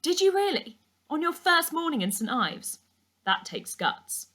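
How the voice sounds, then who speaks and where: A woman voicing a kindly, mysterious uncle in a storybook, gentle and wistful. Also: sits high, big pitch swings, unhurried, pitched above the performer's own voice, full character voice. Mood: impressed. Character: kindly, mysterious uncle, a weary grown man.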